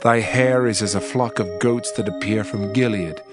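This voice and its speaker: A man's voice: fairly low pitch, normal speed, close to an everyday voice. A man playing a tender, adoring bridegroom in a dramatized scripture reading, solemn and poetic, with music behind him. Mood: solemn adoration. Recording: loud music under the voice.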